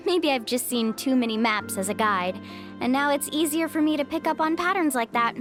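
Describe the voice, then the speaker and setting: A woman voicing an upbeat, friendly young adventure guide in a video game, expressive and encouraging. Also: high voice, brisk, music in the background, natural voice. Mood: thoughtful.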